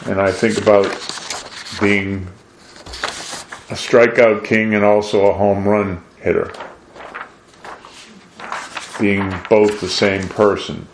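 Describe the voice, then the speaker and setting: An older American man from New England delivers a preachy monologue in a deliberate, emphatic way, posing rhetorical questions. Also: fairly low pitch, flat delivery, background noise. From New England, USA. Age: 60s or older. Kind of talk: preachy monologue with read-out quotations. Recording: roomy, echoing voice.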